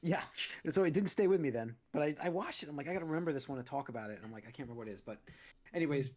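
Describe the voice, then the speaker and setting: A man hosting a movie podcast in casual chat, a little raspy as he gets over a cold, rambling with lots of restarts and fillers. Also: a little high, expressive, rapid-fire, darkish tone. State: recovering from a cold, slightly raspy. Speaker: podcast host.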